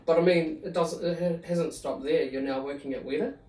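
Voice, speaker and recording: A man's voice: a little high, fairly even intonation, a little fast, darkish tone, echoey room, distant mic, low-quality recording. A man with a New Zealand accent asking interview questions in a loose, chatty way. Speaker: interviewer, host.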